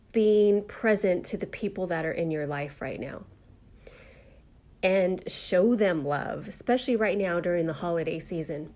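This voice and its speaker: A woman with a slightly nasal voice from a cold, hosting a live online talk show and speaking in a gentle, heartfelt way with many fillers. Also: on the low side. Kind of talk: casual live-stream monologue.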